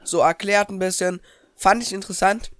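A man's voice: sits high, fairly even intonation, moderate pace, fairly bright tone, no echo, clean studio sound. A teenage boy chatting casually as co-host, a bit stuffy-nosed from a cold. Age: teens. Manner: chatty, eats while talking. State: slightly congested from a cold. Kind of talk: casual chat.

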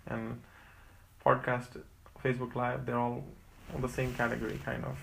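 Young man, gravelly tone, relaxed and sure of himself, casual podcast host. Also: medium pitch, moderate pace, a little hiss or hum, poor audio. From India. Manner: chatty, teasing, self-assured. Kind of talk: casual chat.

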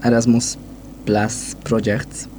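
Hungarian guy on a radio chat, mellow, stop-start. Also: medium pitch, flat delivery, takes it slowly, a little hiss or hum. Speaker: guest.

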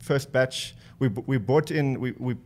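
A man's voice: mid-range voice, normal speed, a little mellow. Southern African man chatting as guest. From Namibia. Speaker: podcast guest, business co-founder. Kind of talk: casual interview chat.

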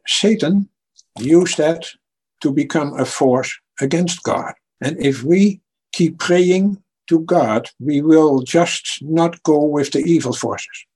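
A man's voice: fairly high pitch, a little mellow, breathy edge. A man with a Dutch accent answering as a guest author in an interview, earnest and given to repeating his first words.

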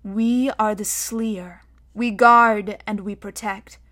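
A woman voicing an ancient, solemn guardian spirit that chants slowly and ominously in a storybook narration. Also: medium pitch, fairly even intonation, a bit bright. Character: ancient, dry, many-voiced guardian spirit, solemn and petulant.

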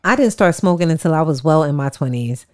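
A woman's voice: sits low, animated, brisk, darkish tone. A woman co-hosting a podcast, chatting casually and often laughing as she tells family stories. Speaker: podcast co-host. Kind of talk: casual chat.

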